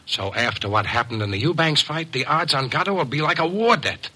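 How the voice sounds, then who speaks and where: An actor playing a crooked, wisecracking fight manager, slangy and curt, in an old radio drama. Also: male voice, fairly high pitch, animated, brisk, bright, forceful tone, slightly rough, exaggerated voice, rough recording. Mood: pleading. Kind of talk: 1950s radio drama acting. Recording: vintage radio broadcast.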